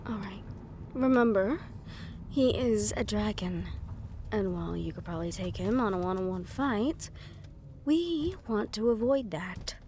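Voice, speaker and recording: A woman's voice: mid-range voice, expressive, unhurried, darkish tone, a little hiss or hum. A woman playing a composed but anxious mafia boss's right-hand assistant in an intimate audio roleplay. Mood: firm, cautioning.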